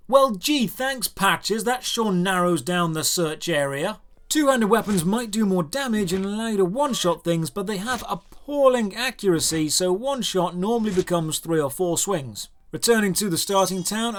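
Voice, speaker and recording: A man delivers scripted game review narration. His delivery is dry and sarcastic, with exasperation running through it as he lays out his complaints. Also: sits high.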